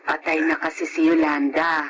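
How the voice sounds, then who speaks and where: A woman's voice: low-pitched, level tone, normal speed, dull audio, a little hiss or hum, lightly acted. An actress playing a caring, grave older Filipino mother in a radio drama, speaking with a Filipino accent.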